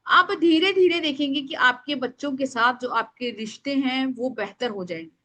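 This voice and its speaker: A woman leads a live online class in a high, sharp, strong voice that carries loudly. She explains informally yet emphatically, addressing her listeners directly and slipping English words into her speech. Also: normal speed. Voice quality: loud, strong voice. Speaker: astrology remedies teacher.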